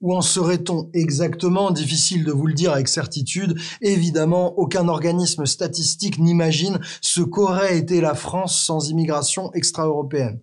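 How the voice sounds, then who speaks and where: A man hosting an educational video, narrating a script in a clear, teacherly way with the odd wry aside to the viewer. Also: fairly high pitch, normal speed, few pauses, a little mellow. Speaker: explainer video host.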